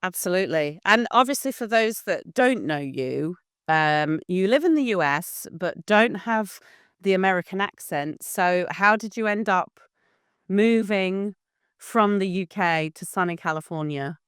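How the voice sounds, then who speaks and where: A woman's voice: mid-range voice, lively intonation, unhurried, clean tone, no room sound, clean studio sound. A woman hosting a podcast, interviewing her guest in a friendly, conversational way. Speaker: podcast host.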